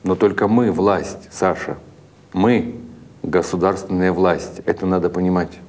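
Man playing a low-voiced, earnest young official. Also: steady tone, measured pace, darkish tone. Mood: firm, conciliatory. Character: young secret-police chairman, earnest, doctrinaire.

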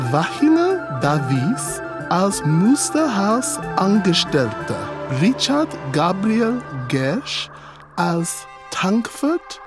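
A woman reading out the scripted credits of a radio play as its announcer. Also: lowish voice. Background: music.

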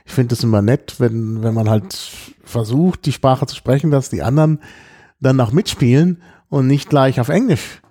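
Ein Mann, der in einem Podcast locker und mit vielen Füllwörtern von einer Reise erzählt. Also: male voice, medium pitch, normal speed, mellow. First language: German. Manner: chatty storyteller, many fillers. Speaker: podcast host. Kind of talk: casual chat.

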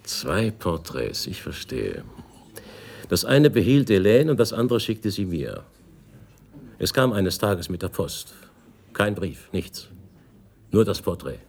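Guarded older businessman, expressively varied pitch. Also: big pitch swings, slow, creaky, long pauses, darkish tone, heavily acted. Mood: subdued, wistful. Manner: guarded, probing with short questions. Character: wary older Italian businessman, protective father under questioning. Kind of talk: radio play acting.

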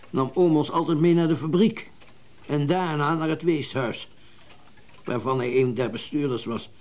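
Actor as a genteel, reflective wealthy collector. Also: male voice, on the high side, darkish tone, lightly acted. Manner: genteel, reflective, hesitant pauses, old-fashioned turns of phrase. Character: wealthy, well-bred male collector of mechanical music. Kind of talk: naturalistic radio drama dialogue.

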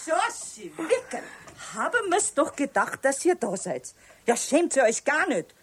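An actress playing a stern mother, scolding children with sharp commands in a 1970s radio play. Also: high voice, sing-song, a little slow, noisy background.

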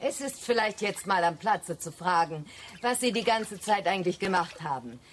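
Radio play: actress as prim committee woman, coolly critical, lower-toned. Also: lively intonation, moderate pace, slight background noise, close to an everyday voice. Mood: cool, reproachful.

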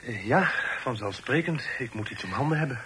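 Casting: a respectful young son in radio drama, bright forward tone, courteous and a little hesitant, faintly noisy old recording. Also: male voice, mid-range voice, expressive, brisk. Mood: earnest, respectful. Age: young adult. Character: polite, deferential young gentleman, a grown son. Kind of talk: Dutch radio play acting.

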